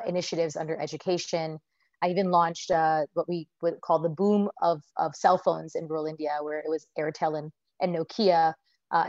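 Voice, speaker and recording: A woman's voice: on the low side, darkish tone, no room sound. An American woman in her thirties, a company founder answering interview questions earnestly, with frequent fillers and restarts. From United States. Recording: slightly dull, lo-fi sound.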